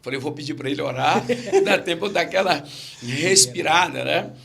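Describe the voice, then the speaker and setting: Stammering Brazilian pastor, gravelly voice. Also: male voice, on the high side, lively intonation, fairly quick, fairly bright tone, slight background noise. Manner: repeats phrases, laughs at own stories. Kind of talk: casual podcast chat, storytelling.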